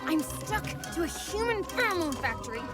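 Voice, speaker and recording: A woman's voice: very high voice, big pitch swings, normal speed. A woman voicing a bratty young female mosquito villain in a cartoon, sarcastic, haughty and whining. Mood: haughty exasperation. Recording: loud music behind.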